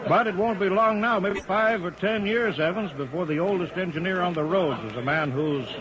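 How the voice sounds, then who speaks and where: An actor in 1950s radio drama style playing a brisk, authoritative middle-aged chief engineer of futuristic roads. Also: male voice, sits high, lightly acted. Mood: explanatory. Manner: authoritative, clipped, urgent orders. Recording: muffled vintage radio broadcast with background crowd.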